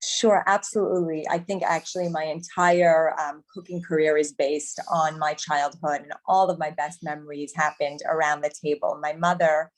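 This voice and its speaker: Canadian-raised woman, deep-ish voice, chatting as a guest in an interview, teacher and author. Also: level tone, moderate pace, few pauses, low-quality recording. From Montreal, Canada. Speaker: cooking teacher and cookbook author. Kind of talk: conversational interview answers.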